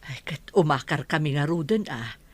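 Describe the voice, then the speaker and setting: A woman's voice: sits low, animated, moderate pace, dry recording. A dry, close female voice from an actress in Ilocano radio drama. She is a grateful older sister who reassures her younger sibling. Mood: gentle, reassuring.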